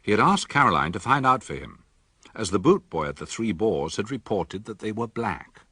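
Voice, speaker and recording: Guy as measured curious doctor sidekick in a radio play, low husky voice, swings around a lot. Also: very animated, sharp tone, no echo, full character voice. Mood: narrating, reflective. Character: measured, inquisitive gentleman doctor, narrator and sidekick. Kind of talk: radio drama acting and first-person narration.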